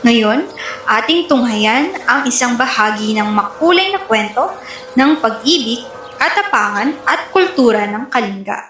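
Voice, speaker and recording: Filipino lady narrating a radio drama, highish voice, roomy echo. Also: lively intonation, measured pace, a bit bright, soft background music. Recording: echoey room.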